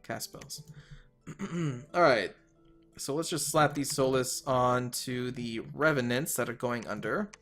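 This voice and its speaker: Slightly hoarse man thinking aloud. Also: mid-range voice, unhurried, fairly bright tone, soft background music. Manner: thinking aloud, trailing off, self-correcting. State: slightly hoarse, losing his voice. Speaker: let's player. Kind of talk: casual strategy game commentary.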